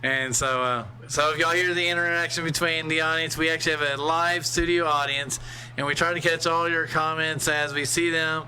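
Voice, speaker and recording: Bright-voiced, easygoing man. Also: on the high side, steady tone, a little fast, slight background noise, low-quality recording. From California. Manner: rambling, many uh fillers, easygoing. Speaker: host. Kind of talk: casual storytelling on a livestream.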